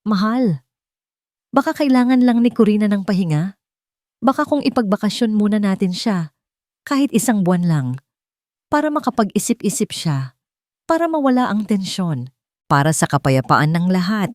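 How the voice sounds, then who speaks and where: A woman's voice: mid-range voice, sing-song, normal speed, long pauses, darkish tone, no echo, clean studio sound, voice pushed up, lightly acted. A woman voicing a sweet, coaxing young wife. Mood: soft coaxing. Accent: Filipino. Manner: tender, coaxing.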